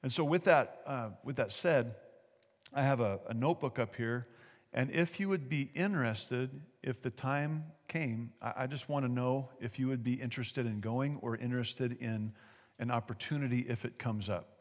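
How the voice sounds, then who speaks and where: An American man giving an informal church presentation to an audience, with a folksy, halting, conversational manner. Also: medium pitch. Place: large hall, speaking to a gathering. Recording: echoey hall with long reverb.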